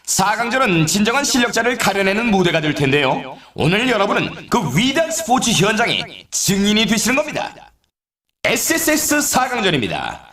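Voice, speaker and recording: An excitable male snowboard announcer for a video game, pitched high with big swings in intonation. He delivers scripted race commentary with showy, dramatic flair. The sound is roomy and echoing. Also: brisk, exaggerated voice. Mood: grand, confident. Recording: roomy echo, slightly distant mic.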